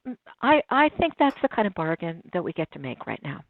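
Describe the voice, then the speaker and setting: A woman's voice: fairly low pitch, big pitch swings, a little fast, no echo. Woman in her sixties on a tinny line. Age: 60s. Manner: reflective, warm storytelling with frequent restarts. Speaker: guest. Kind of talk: casual interview conversation. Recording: tinny phone-line sound, dry.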